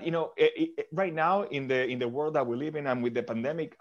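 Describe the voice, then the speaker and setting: Man, from Argentina, podcast guest, thoughtful, stumbling restarts, middle pitch, dull lo-fi sound. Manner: thoughtful, with frequent restarts and repeated words. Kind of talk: interview answers.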